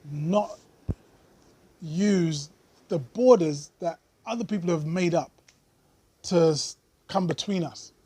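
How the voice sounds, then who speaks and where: A man speaking earnestly to camera in his own vlog, thinking aloud with many pauses and fillers. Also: a little high, dark tone, breathy edge. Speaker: vlogger. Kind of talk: unscripted commentary to camera.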